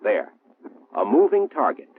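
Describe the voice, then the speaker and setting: An American man reading a 1960s military training film narration in a formal, measured, precisely enunciated manner. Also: lowish voice, very animated, a little hiss or hum. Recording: muffled, roomy 1960s film soundtrack, phone-like.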